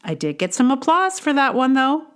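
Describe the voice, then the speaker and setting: A woman hosting a podcast, reading her own personal essay aloud in a measured, deliberate way with casual asides. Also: sits high, big pitch swings, fairly bright tone, smooth. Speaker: podcast host and writer.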